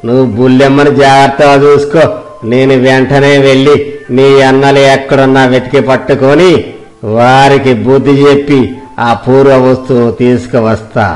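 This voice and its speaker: A man's voice: medium pitch, flat delivery, moderate pace, a little mellow, natural voice, low-quality recording. A man voicing a loyal, boastful reformed bandit with an emphatic, righteous manner in a moral story. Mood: determined.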